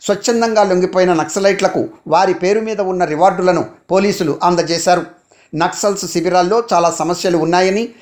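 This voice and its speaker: A man reading a formal, scripted radio news bulletin as the newsreader. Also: sits high.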